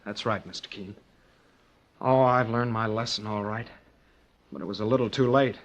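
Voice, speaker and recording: An actor playing a guilty, desperate young nephew under suspicion in an old-time radio drama, hesitant and defensive. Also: male voice, on the low side, normal speed, close to an everyday voice. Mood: rueful. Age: young adult.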